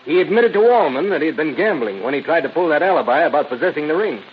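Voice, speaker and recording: An actor playing a plain-spoken, businesslike police detective in an old radio crime drama. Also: male voice, a little high, animated, fast talker, close to an everyday voice. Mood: matter-of-fact. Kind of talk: 1930s-40s radio crime drama acting. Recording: muffled old radio recording with hiss.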